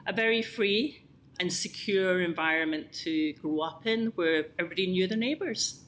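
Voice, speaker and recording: A Scottish woman in her fifties with a fairly low voice tells her story with reflective, unhurried detail. She speaks over a remote connection, with a faint hiss beneath her words. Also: fairly bright tone. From Scotland. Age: 50s. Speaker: podcast guest. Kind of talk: conversational interview storytelling.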